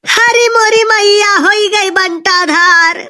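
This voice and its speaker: A woman voicing a sharp-tongued, argumentative village mother and wife in a comic cartoon, scolding in an Awadhi accent. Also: very high-pitched, slow, exaggerated voice. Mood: dramatic complaining.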